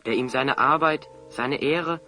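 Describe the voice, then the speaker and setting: A calm, wise adventurer speaks in a bright, medium-pitched voice. He is courteous and assured, in a radio play over faint music. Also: a little slow. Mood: grave, explaining. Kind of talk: German radio play acting.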